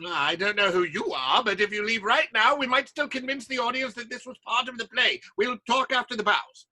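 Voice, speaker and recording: A man playing a blustering, exasperated playwright running a theatre in an audio play, forceful and declamatory. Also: extremely high pitch, a little fast, heavily acted. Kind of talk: stage-style audio drama acting. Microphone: video call recording.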